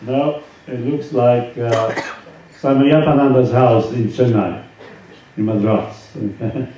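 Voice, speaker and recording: A man gives an informal spiritual talk at an unhurried, slow pace, with halting asides as he reads aloud. His medium-pitched voice rings in a very echoey space, picked up from a little way off the mic. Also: steady tone, mellow. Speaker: swami, yoga teacher. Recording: roomy echo, distant mic, dull sound, faint noise.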